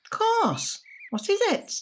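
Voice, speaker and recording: Slow-speaking, tender older grandmother, acted by a woman. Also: very high voice, huge pitch swings, heavily acted. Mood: warm, chatty. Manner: measured, tender, heartfelt. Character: gentle, reflective grandmother, encouraging ally of a young man. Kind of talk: audio drama monologue and dialogue. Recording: noticeable background noise with birdsong.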